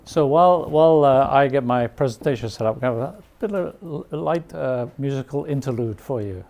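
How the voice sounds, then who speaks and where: A man's voice: mid-range voice, moderate pace, darkish tone. A man, the company's CEO, chatting casually to the room while he sets up his presentation. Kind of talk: casual remarks while setting up. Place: embassy event room.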